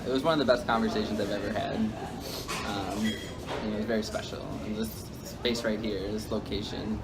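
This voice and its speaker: A man hosting a casual vlog, talking in a relaxed, soft-spoken way with hesitant fillers, outdoors on a city street. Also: on the low side. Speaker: vlog host.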